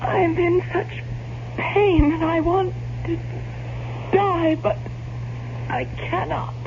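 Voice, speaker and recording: Radio drama, noisy vintage sound: dying gentlewoman, frail, weary, very slow, halting. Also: very high voice, full character voice. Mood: weary anguish. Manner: faint, halting, drawn-out. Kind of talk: old-time radio drama acting. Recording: muffled, noisy old radio drama recording.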